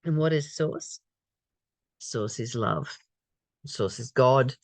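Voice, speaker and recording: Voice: medium pitch, animated, slow pace, no echo. A person reading a spiritual study text aloud and reflecting on it conversationally, repeating phrases and posing questions. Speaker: spiritual study reader and commentator.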